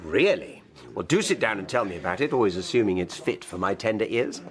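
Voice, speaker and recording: An actor playing a wry, polished, teasing Victorian gentleman who relishes gossip, in a radio drama. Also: lowish voice, expressive, brisk, lightly acted. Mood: jovial, teasing. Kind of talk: period radio drama acting.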